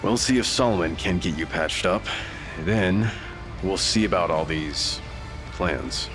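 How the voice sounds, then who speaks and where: Very deep-voiced, wary male investigator. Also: a little slow. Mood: resigned, pondering. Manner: terse, guarded, dry. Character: gruff, wary, world-weary male investigator. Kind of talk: audio drama acting. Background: prominent music.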